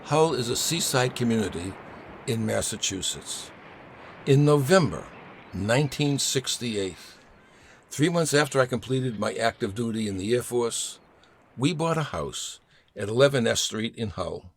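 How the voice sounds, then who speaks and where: An older man reading his own personal essay aloud in a measured storytelling manner, pausing for emphasis. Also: medium pitch, animated, slight background noise.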